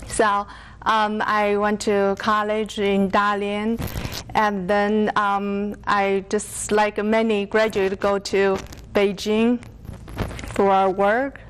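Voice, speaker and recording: A woman from China with a Chinese accent, answering interview questions haltingly as she searches for words in English, which is not her first language. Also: mid-range voice, little pitch movement, slight background noise, rough recording. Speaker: interview guest.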